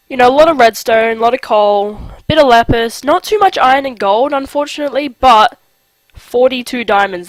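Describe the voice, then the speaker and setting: A man's voice: very high voice, no room sound, poor audio. A young man with a nasal, sick-sounding voice, casually narrating his game in a rambling way. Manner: chatty, rambling with fillers. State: nasal from a cold. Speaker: let's play host. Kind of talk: casual gameplay commentary.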